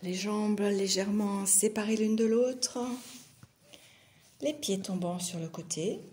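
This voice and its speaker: A woman teaching yoga nidra, guiding a meditation in short, soothing phrases with long pauses. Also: mid-range voice, warm tone. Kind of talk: guided meditation.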